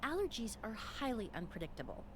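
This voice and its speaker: A woman's voice: a little high, sing-song, brisk, fairly bright tone, slight background noise. A woman playing a polite, scholarly young perfumer in an anime video game, formal and composed as she explains.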